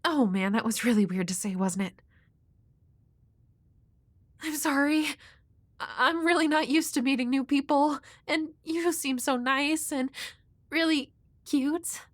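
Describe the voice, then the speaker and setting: Woman playing a high-voiced, shy, nerdy young woman. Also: a little slow, pauses often, no room sound. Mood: flustered. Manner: hesitant, rambling, nervously apologetic. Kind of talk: intimate audio roleplay addressed to the listener.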